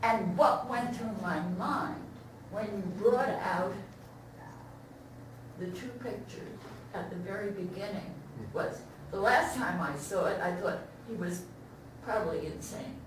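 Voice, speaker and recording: A woman's voice: medium pitch, unhurried, very echoey, background noise. A woman giving informal spoken feedback as an audience member at a talkback, picked up by a distant mic in a room. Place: indoor room at a staged reading talkback.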